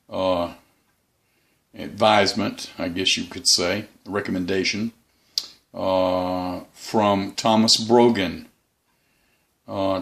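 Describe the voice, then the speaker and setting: A man giving a casual, unscripted hobby review as the channel's host, in a halting, rambling way. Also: deep voice, vocal fry, fairly bright tone.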